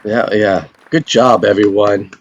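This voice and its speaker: A man's voice: on the low side, fairly even intonation, measured pace, muffled recording, a faint crowd, a little hiss or hum. A man hosting a table read over a video call, talking casually and hesitantly with many fillers. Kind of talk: casual chat.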